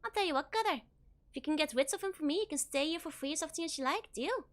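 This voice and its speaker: A hoarse woman voicing a grumbling, put-upon male innkeeper who complains and gossips gruffly. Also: high voice, animated, fast talker, voice pushed up. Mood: bargaining.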